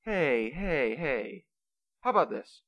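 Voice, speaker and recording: A man's voice: a little high, sing-song, takes it slowly, fairly bright tone, dry recording, heavily acted. A man playing a kindly, patient Saint Nicholas who reasons earnestly, in an amateur comedic radio play. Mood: reassuring, earnest.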